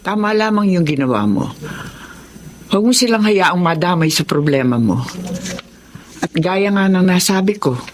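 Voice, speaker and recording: A man's voice: high-pitched, expressive, normal speed, pauses often, slight background noise. A man acting a supportive, advising confidant in a Tagalog radio drama. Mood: earnest advising. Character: supportive confidant giving firm, caring advice.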